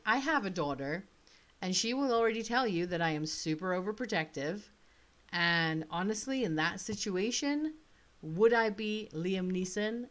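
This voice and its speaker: A woman in her thirties talks into her webcam in a small room, her voice sitting a little low. A faint British accent colours her chatty, wandering commentary, full of asides as she thinks aloud. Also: fairly bright tone. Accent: slight British. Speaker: author playing a choice game. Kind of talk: casual commentary.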